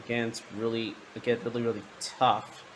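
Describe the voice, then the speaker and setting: A man narrating a hands-on toy review in a halting way, often repeating his words. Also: mid-range voice, little pitch movement, a little hiss or hum.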